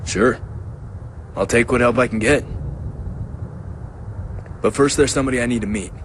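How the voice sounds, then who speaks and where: A man's voice: medium pitch, moderate pace, a little hiss or hum, lightly acted. An actor playing a determined, impulsive young man in a video game, talking in a direct, informal way. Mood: earnest, resolute. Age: young adult. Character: determined, impulsive young man seeking his lost father. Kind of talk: cinematic video game acting. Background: faint music.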